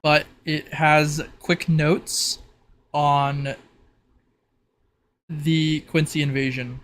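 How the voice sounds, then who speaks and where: A man acting as game master, improvising narration for a tabletop roleplay in a halting, think-aloud manner. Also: on the high side, level tone, a bit bright, a little hiss or hum.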